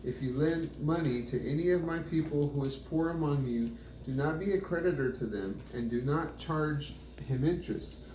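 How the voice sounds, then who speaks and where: A man reading scripture aloud in a plain, measured way, now and then stumbling over a phrase. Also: a little high, mellow. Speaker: scripture reader. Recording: roomy echo, faint background noise.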